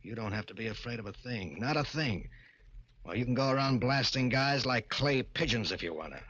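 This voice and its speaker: A man's voice: medium pitch, animated, a little fast, a bit bright, dry recording. An actor playing a slick, persuasive American gangster boss in an old-time radio crime drama, using slangy, cajoling tough-guy talk. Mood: urging. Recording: muffled vintage radio recording, organ music.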